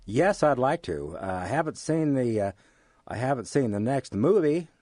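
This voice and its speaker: An American man being interviewed, speaking in a halting, measured way with formal, academic wording. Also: medium pitch, sing-song, a little mellow, no echo, clean studio sound. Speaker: interview guest, amateur radio drama actor. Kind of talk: relaxed interview conversation.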